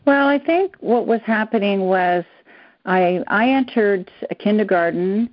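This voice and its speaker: A woman's voice: mid-range voice, expressive, a little slow, no echo. An older woman whose first language is German, a psychotherapist answering interview questions earnestly, sometimes hunting for words. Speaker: psychotherapist guest. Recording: dull dry lo-fi sound.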